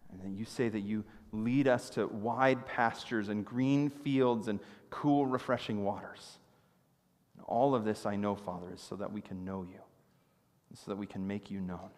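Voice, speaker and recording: A man in his thirties preaching a sermon from a church stage, telling stories with animated gestures. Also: fairly low pitch, normal speed. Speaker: pastor. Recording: long reverb of a church hall.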